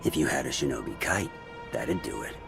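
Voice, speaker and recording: A man playing a roguish, wry middle-aged schemer in a video game, with gravelly voice and folksy, sardonic slang. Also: a bass voice, expressive, brisk, exaggerated voice. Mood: sly, musing. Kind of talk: video game cutscene acting. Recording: background music under voice.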